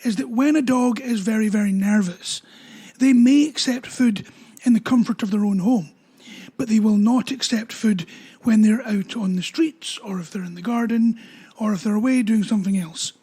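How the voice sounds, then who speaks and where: A man, a dog trainer explaining training ideas in a relaxed talk with everyday examples. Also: high-pitched, moderate pace. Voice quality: husky voice.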